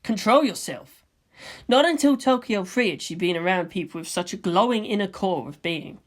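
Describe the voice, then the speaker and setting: A woman's voice: medium pitch, animated, a little fast. A woman narrator reading fan fiction as scripted storytelling.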